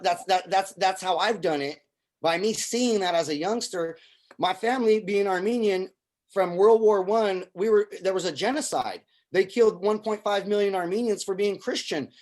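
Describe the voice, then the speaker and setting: A man's voice: sits high, moderate pace, darkish tone. A middle-aged man from Syria, a film producer and army veteran, talking earnestly in a casual conversation and often repeating words as he starts a thought.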